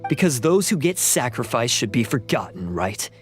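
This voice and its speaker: A man's voice: medium pitch, sing-song, normal speed, soft background music, natural voice. A man voicing a bitter, defiant young oni outcast turned thief in a video game, scornful and intense.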